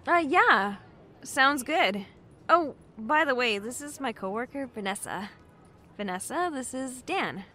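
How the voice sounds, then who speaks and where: An actress playing an anxious, apologetic young bookshop clerk in an audio drama, speaking casually and hesitantly. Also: female voice, sits high, expressive, measured pace, bright, forceful tone, a little hiss or hum, exaggerated voice. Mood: friendly, awkward. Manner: hesitant, self-deprecating, casual. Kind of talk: naturalistic audio drama acting.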